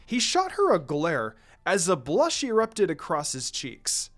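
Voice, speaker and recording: A man reading a story aloud as an expressive narrator of scripted fiction. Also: sits high, unhurried, soft background music. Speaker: story reader.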